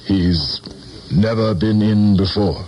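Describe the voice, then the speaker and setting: Low-voiced, haunted powerful politician. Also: male voice, slow pace, a little mellow. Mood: uneasy. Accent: American. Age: middle-aged. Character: powerful, haunted middle-aged male politician, defensive and desperate. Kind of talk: 1970s radio drama acting. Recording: noisy 1970s radio broadcast recording.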